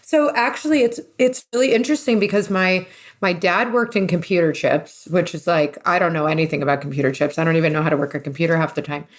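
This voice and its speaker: A woman from the East Coast of the United States talks as a guest in a casual interview. Her voice sits slightly low, and she is chatty and readily pokes fun at herself. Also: fast talker, hardly any pauses. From US East Coast, New Jersey and New York. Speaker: interview guest, renovation business partner.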